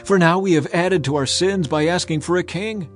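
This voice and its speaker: A man voicing a frightened crowd of ancient people, pleading urgently and pitched higher than his narration. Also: normal speed, faint music behind, lightly acted.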